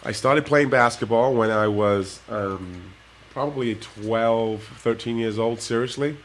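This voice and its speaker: A man, a former professional basketball player, telling his life story to kids in a relaxed way and laughing often. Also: medium pitch, measured pace, a little hiss or hum. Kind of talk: casual interview talk to kids.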